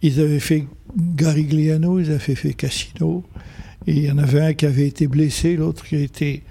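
An older man, a photographer telling stories slowly and repeating phrases for emphasis. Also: a little high, fairly even intonation, creaky, mellow, slightly rough. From Algeria. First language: Arabic. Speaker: photographer guest. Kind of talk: reflective storytelling in conversation.

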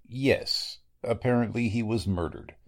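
A man playing a gruff, authoritative veteran coroner with a formal, emphatic manner in a 1940s-style radio drama. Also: lowish voice, a little slow. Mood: baffled.

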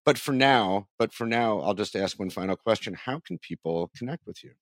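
Low-voiced man hosting a casual interview. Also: animated, normal speed, no echo, clean studio sound. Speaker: interview host.

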